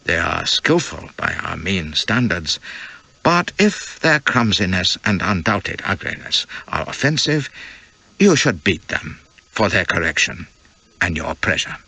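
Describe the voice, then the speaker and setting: A man's voice: low-pitched, sing-song, gravelly, sharp tone, husky, no echo, exaggerated voice. Man voicing a suave, elaborately humble Chinese host. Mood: humble, obliging. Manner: elaborately polite, formal, self-deprecating, measured. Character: suave, courteous, very tall Chinese male host, effusively humble. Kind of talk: audiobook character dialogue.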